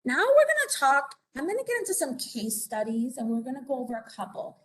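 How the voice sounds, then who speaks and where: A woman's voice: sits high, sing-song, a little fast, slight background noise. Chatty woman presenting on fraud cases. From Puerto Rico. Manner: conversational, many fillers and tag questions. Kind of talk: informational presentation. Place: conference or meeting room.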